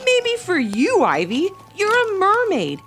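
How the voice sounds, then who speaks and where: A woman's voice: very high voice, huge pitch swings, slow, a bit bright, faint music behind, voice pushed up, full character voice. A woman voicing a caring but flustered mother in a children's story, prone to exclaiming. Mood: worried.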